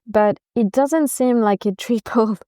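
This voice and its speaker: A woman guest on an interview podcast, answering in a measured, earnest and warmly enthusiastic way. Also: a little high, no room sound, clean studio sound. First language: not Japanese. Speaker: podcast guest, venture investor.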